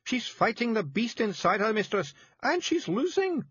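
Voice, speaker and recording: Pint-sized Scottish fairy chief: high, gruff, hollering, thick brogue. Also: male voice, very animated, moderate pace, dry recording, lo-fi sound, heavily acted. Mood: urgent, shouting. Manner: gruff, urgent, shouting in broad dialect. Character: small fierce male Scottish fairy leader.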